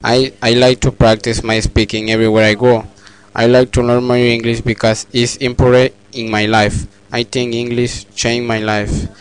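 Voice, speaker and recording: A man who is an adult English learner, reading his thoughts aloud in a steady, earnest way. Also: medium pitch, normal speed, clear, resonant tone, no room sound, rough recording.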